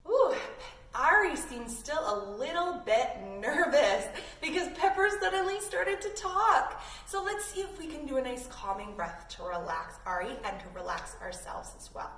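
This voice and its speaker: High-voiced woman teaching kids' yoga, roomy. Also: lively intonation, normal speed, a bit bright. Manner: playful, encouraging. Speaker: children's yoga instructor. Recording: roomy, distant mic, faint noise.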